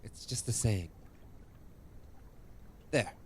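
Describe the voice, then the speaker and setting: An actor playing a patient, dryly teasing guardian with formal old-world diction in an audio drama. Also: male voice, fairly low pitch, drawn-out words, a little hiss or hum.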